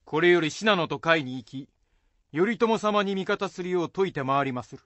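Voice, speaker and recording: Brief: male performer as seasoned warrior adviser, slightly high voice, dry close sound, folksy archaic radio drama. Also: animated, moderate pace, a bit bright, dry recording. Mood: resolute. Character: shrewd, seasoned samurai strategist, male. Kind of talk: Japanese period radio drama.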